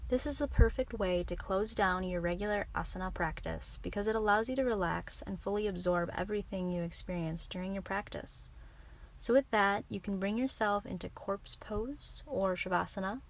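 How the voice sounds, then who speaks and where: A woman leading a guided relaxation meditation as a yoga teacher, giving soothing, gentle instructions in an unhurried way. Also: medium pitch.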